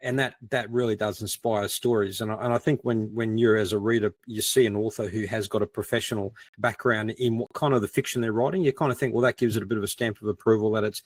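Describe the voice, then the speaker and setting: A man's voice: fairly low pitch, fairly even intonation, fast, few pauses, dry recording, studio quality. A middle-aged man with an Australian accent, explaining things conversationally as a podcast guest. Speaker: podcast guest, ex-detective crime writer.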